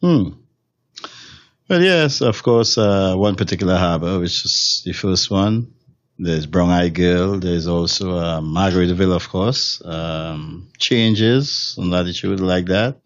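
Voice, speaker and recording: A man's voice: low voice, a little slow, dry recording, muffled recording. A man from Trinidad and Tobago with a Trinidadian accent, a steel drum musician answering interview questions in a rambling way, with many fillers and restarts. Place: hotel room.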